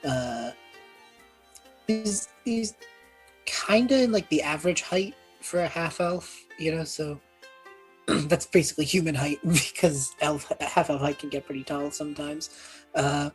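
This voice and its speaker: A woman with a husky voice chatting casually and rambling as a player in a tabletop game. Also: low voice, a little slow, soft background music. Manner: rambling, self-deprecating, frequent throat clearing.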